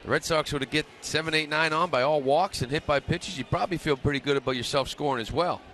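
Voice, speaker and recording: A man's voice: on the high side, quick pace, a little hiss or hum. A man giving conversational, colloquial color analysis during a live radio baseball broadcast. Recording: steady stadium ambience behind live broadcast. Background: ballpark ambience.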